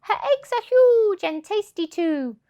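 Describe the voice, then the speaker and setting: A woman voices a downy female duck in a children's tale. She pleads and coaxes in a high, very animated voice. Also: takes it slowly, muffled recording, heavily acted. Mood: pleading. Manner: pleading, coaxing.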